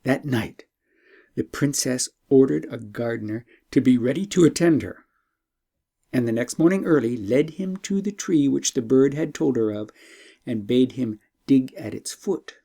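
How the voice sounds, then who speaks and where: A man narrating an audiobook tale with expressive, carefully phrased, formal delivery. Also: medium pitch, measured pace, vocal fry. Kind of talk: scripted storytelling narration.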